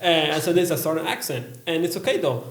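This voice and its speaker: A man speaks in a slightly raised voice, earnest and halting, stopping on uh between thoughts. The room around him is echoey. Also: fairly high pitch, expressive. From USA. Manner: earnest, halting, many uhs. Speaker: English teacher. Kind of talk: casual podcast chat.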